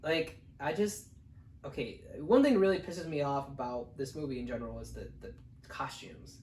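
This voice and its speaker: A man chatting casually as co-host of a film review, giving blunt opinions in a halting, repetitive way. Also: medium pitch, darkish tone, slight background noise. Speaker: co-host of a movie review show. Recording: echoey room.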